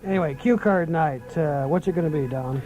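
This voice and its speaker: A man's voice: fairly high pitch, fairly quick, muffled recording, music in the background. A man chatting casually as a radio broadcaster and interviewer.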